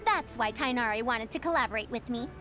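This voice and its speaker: A woman voicing a proud, excitable young-sounding scholar with theatrical, old-fashioned phrasing in a game. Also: on the high side, quick pace, music in the background, lightly acted. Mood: composed, explanatory.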